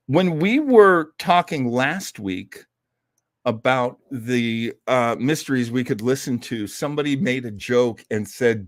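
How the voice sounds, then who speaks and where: A man's voice: medium pitch, dry recording. A man hosts a livestream about vintage radio in a loose, conversational way, given to theatrical, joking asides. His mid-range voice moves up and down a great deal. The sound is dry, with very little room around it. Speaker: host of an online old-time radio show. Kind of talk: casual rambling livestream chat.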